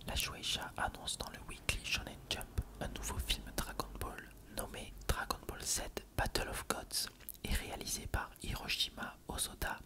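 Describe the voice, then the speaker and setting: Whispered ASMR narrator reading in French. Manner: unvoiced whispering throughout.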